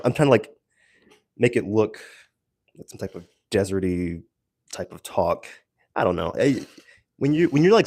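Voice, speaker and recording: A man giving a casual, rambling audio log as a solo creator, haltingly searching for words with self-deprecating asides. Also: fairly low pitch, darkish tone, dry recording, studio quality.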